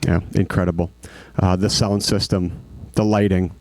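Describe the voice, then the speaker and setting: A man giving a school activities report at a board meeting, halting, with many fillers and restarts. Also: sits low, level tone, darkish tone. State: recovering from hoarseness.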